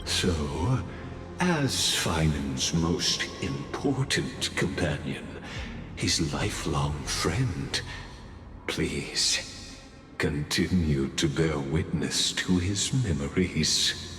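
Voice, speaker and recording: A grandiose narrator of an epic drama, with a deep voice and a very slow, solemn delivery. Every phrase is theatrical and stately, ringing through an echoing hall over music. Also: male voice, lively intonation, full character voice. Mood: stately, dramatic. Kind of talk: scripted dramatic storytelling. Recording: echoey reverberant hall with loud music.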